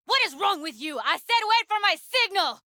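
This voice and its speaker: A woman's voice: very high-pitched, expressive, normal speed, pushed and bright, no room sound, clean studio sound, heavily acted. A woman voice-acting a tough, blunt but tender-hearted young techie in a video game, with clipped, slangy, sweary phrasing. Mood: angry, distressed.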